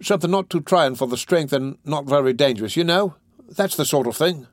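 Storybook character, male narrator performing: cocky young male lout, pitched up, grasping and self-important. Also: lively intonation, a little fast, no echo, clean studio sound, natural voice. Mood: wary, angling. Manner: self-important, colloquial, questioning.

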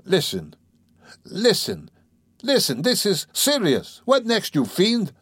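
A man voicing a flustered, excitable young man who stammers and blusters in a dramatised audiobook reading. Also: mid-range voice, moderate pace, dry recording, clean studio sound, voice pushed up. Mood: indignant. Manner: stammering, exclamatory, indignant.

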